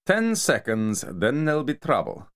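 A man voicing a sharp-tongued, sarcastic mafia enforcer who scolds his partner. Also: mid-range voice, wildly swooping pitch, a little slow, voice pushed up. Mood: scornful.

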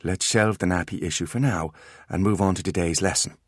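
A man's voice: deep voice, steady tone, brisk, slightly rough, no echo, studio quality. A man voicing a clever teenage boy patiently lecturing his little brothers.

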